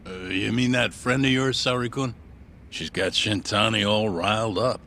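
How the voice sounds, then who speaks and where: An actor playing a gruff, fatherly older boss of a law office in an English game dub. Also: fairly low pitch, normal speed, bright, forceful tone, a little hiss or hum. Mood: teasing. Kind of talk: English dub cutscene acting.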